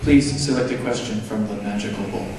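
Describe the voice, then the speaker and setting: A man's voice: lowish voice, a little fast, very noisy. A man hosting a live pageant, calling candidates forward in a formal announcing manner. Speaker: pageant host. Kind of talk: live event emcee. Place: large theatre. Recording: echoey hall from far off, loud crowd.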